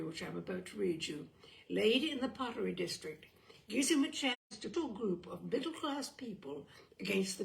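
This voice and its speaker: A woman reading a literary short story aloud in an expressive, measured narrating style. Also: mid-range voice, gravelly, low-quality recording. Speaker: story reader.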